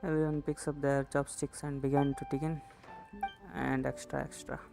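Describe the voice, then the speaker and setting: A woman with a very deep, gravelly voice gives casual commentary on a video game. She speaks in murmured asides with little pitch movement, over game music. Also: level tone, moderate pace. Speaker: gaming streamer.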